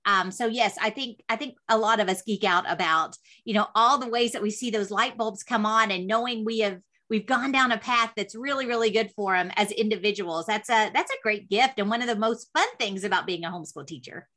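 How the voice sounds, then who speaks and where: A woman's voice: medium pitch, fairly quick, few pauses, pushed and bright. A middle-aged woman hosting a conversational interview podcast, chatty and emphatic, piling one phrase onto the next. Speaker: podcast host.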